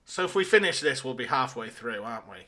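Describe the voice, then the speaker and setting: Male podcast co-host, bright medium-pitched voice, conversational, drily grumbling humour. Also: animated, fast. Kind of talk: casual podcast chat.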